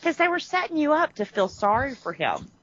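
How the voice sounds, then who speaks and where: A woman co-hosting a podcast, chatting casually about a movie, animated and quick to laugh. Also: sits high. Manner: animated, laughs often, acts out quotes. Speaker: podcast co-host. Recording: muffled lo-fi audio.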